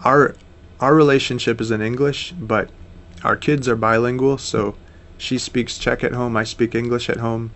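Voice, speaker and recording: A man's voice: mid-range voice, steady tone, normal speed, few pauses, fairly bright tone, a little hiss or hum. An American man in his thirties, a missionary guest, talking earnestly and steadily in a casual interview, with frequent fillers. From United States.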